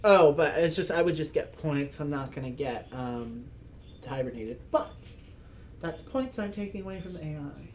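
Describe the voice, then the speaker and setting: A man's voice: on the high side, expressive, moderate pace, slight background noise. A man hosting a board game channel, thinking aloud through a solo game with chuckles and self-mocking asides. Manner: speaks as a loud, projecting voice. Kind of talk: casual solo playthrough commentary.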